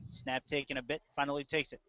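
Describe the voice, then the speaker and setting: A man's voice: mid-range voice, little pitch movement, fast talker, sharp tone, no echo, dull audio. A man doing live play-by-play of a football game, describing the action in short clipped phrases. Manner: clipped running description of plays. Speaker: play-by-play sports announcer. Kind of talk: live American football commentary.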